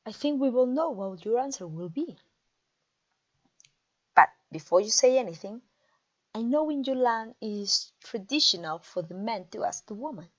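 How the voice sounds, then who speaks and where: A woman playing a haughty, mocking vampire queen in a theatrical ASMR roleplay, pompous and teasing, with drawn-out phrasing. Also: fairly high pitch, highs cut off. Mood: commanding. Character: haughty, mocking, conquering vampire queen taunting her captive. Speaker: audio roleplay voice actor.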